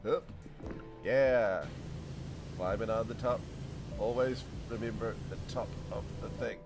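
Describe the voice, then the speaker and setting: A man's voice: on the low side, fairly even intonation, a little slow. Chatty man streaming over game music. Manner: chatty, rambling, laughs often, talks to chat. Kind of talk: casual gameplay commentary.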